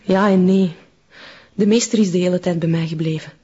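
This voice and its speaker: A woman's voice: on the low side, fairly even intonation, brisk, mellow, husky, no room sound. An actress playing a grieving, submissive young Flemish woman in a cult, meek in manner, in an audio drama. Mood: subdued. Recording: muffled, dull audio.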